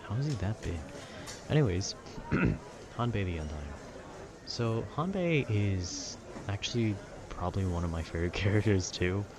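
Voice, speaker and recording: A young man rambling casually in a nerdy, slangy, meandering way, often trailing off and losing his thread. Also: deep voice. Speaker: audio content creator rambling to listeners. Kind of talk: casual rambling talk about video games. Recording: clear background noise, urban ambience.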